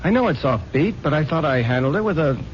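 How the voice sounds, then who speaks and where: A man's voice: fairly high pitch, animated, fast talker, a little hiss or hum, close to an everyday voice. An actor playing an earnest newspaper reporter pleading his case to his editor in a radio drama. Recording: lo-fi old radio recording.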